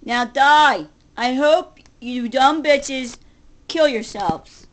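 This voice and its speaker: High-pitched agitated middle-aged woman. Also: drawn-out words. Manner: agitated, combative, sarcastic, profane. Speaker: vlogger. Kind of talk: confrontational ranting to camera, casual. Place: home kitchen, indoors. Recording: noisy home webcam recording.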